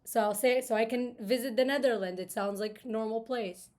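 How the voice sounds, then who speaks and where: A young woman from Israel talks steadily and patiently, laying out her points one at a time. Her voice sits a little high. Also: normal speed. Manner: calm, methodical, walks through arguments. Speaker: host, street interviewer. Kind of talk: casual debate.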